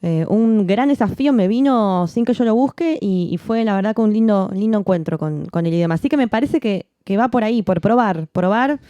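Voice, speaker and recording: A woman, a jazz singer co-hosting a podcast, talking in a relaxed, reflective way with frequent restarts. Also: mid-range voice, a little fast, few pauses, no room sound, dull audio. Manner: reflective, many restarts and "¿no?" tags. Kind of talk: casual chat.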